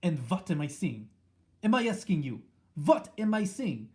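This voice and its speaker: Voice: mid-range voice, big pitch swings, mellow, voice pushed up, natural voice. A reader voicing a furious, tyrannical witch leader who screams and rants at her followers in an audiobook. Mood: ranting. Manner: shrieking, ranting, drawn-out words. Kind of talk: audiobook reading.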